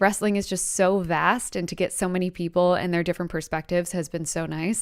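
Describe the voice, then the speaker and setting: Woman, slightly low clear voice, casual vlog delivery, enthusiastic, chatty, emphatic asides. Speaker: video creator and wrestling reaction host. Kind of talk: casual scripted recap vlog.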